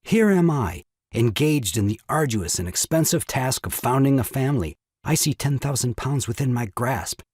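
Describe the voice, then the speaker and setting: A man's voice: medium pitch, expressive, moderate pace, a little mellow, slightly rough, no room sound. An actor playing an indignant young father and lawyer in a stage farce, with pompous, emphatic, rhetorical speech. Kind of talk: stage farce audio drama acting.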